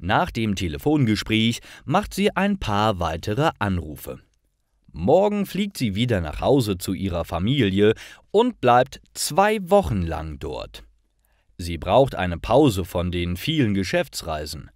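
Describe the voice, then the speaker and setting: A man narrating a scripted story for language learners in careful, clearly separated phrases. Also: lowish voice, wildly swooping pitch, slow, darkish tone, dry recording, studio quality. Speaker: narrator of stories for German learners.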